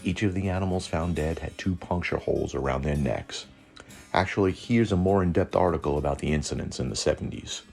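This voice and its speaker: A man's voice: rumbling low, a little fast, creaky, darkish tone, soft background music. A man narrating a scripted lore show as host, with dry joking asides.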